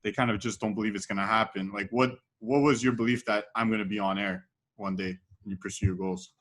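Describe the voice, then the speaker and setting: A man co-hosting a podcast, asking halting, searching questions. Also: fairly low pitch, fairly even intonation, fairly bright tone. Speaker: podcast co-host. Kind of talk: casual podcast interview.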